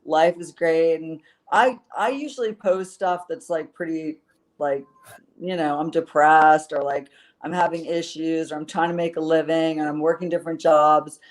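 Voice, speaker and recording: A woman's voice: deep voice, steady tone, moderate pace. A woman author being interviewed, earnest and rambling, with plenty of fillers as she talks. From based in Los Angeles. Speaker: author guest. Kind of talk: interview answers.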